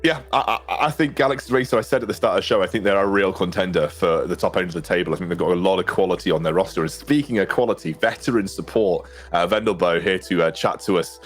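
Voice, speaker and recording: A man's voice: low voice, soft background music. A man hosting an esports show over a video call, chatty with lots of fillers. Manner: fast, chatty, filler-heavy. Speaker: esports caster and host. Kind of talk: casual esports desk chat. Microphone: headset on a webcam call.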